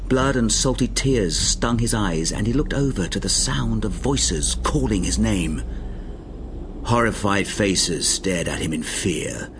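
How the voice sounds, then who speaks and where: A man's voice: fairly low pitch, expressive, unhurried, background noise. A man reading dramatic fiction as an audiobook narrator, with a grave, intense and theatrical delivery. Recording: music behind the voice. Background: music.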